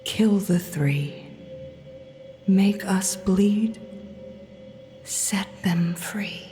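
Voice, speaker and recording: A woman's voice: mid-range voice, very slow, dark tone. A woman playing a deranged, vengeful mother in a horror audio drama, eerily tender and commanding as she coaxes. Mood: grim urging. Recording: faint horror music behind.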